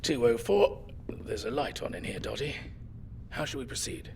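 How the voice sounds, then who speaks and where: An actor playing a precise, conspiratorial old care-home resident in an audio drama. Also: male voice, medium pitch, sing-song, normal speed, gravelly, a little mellow, a little hiss or hum. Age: older.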